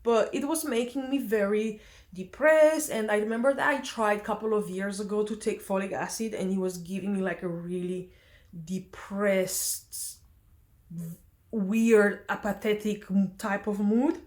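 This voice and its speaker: A woman's voice: mid-range voice, fairly even intonation, unhurried, a little mellow. A young woman from Romania, chatting casually in a vlog, hesitant with many fillers and self-corrections. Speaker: vlogger.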